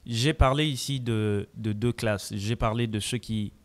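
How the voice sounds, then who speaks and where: A man's voice: lowish voice, a little slow. Man answering at a press conference. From Congo. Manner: mixes French with Lingala, reflective.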